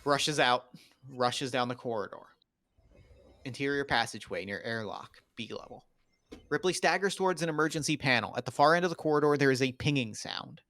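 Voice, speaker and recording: A man's voice: medium pitch, brisk, fairly bright tone, no echo. A man reading screenplay stage directions in a dramatic table read.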